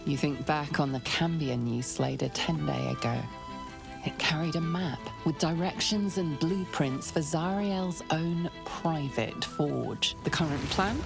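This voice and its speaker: An actress playing a solemn storyteller, narrating a dark fantasy video game in a measured, dramatic way. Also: female voice, low voice, gravelly, over music. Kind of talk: scripted second-person game narration.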